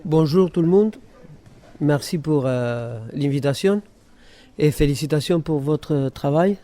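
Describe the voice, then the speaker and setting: A man from Argentina with an Argentine Spanish accent, introducing himself as a guest in a radio discussion, pausing to find his words. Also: a little high, warm tone. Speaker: invited guest, radio maker.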